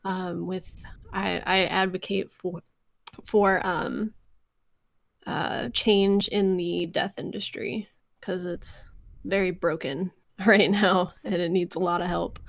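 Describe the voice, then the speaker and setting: An American woman with some vocal fry, talking casually as an interview guest and telling stories by acting out conversations. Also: lowish voice, takes it slowly, no echo. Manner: animated storyteller, re-enacts conversations. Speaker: podcast guest, voice actress. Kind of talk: casual interview chat, anecdotes.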